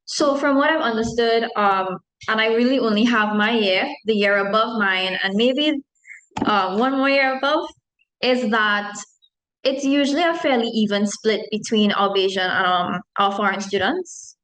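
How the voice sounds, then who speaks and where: A woman's voice: a little high, moderate pace, fairly bright tone. A young woman from Trinidad, a medical student, answering questions at an open-day panel in a chatty way with many ums. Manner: chatty, frequent ums, self-deprecating asides. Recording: echoey room.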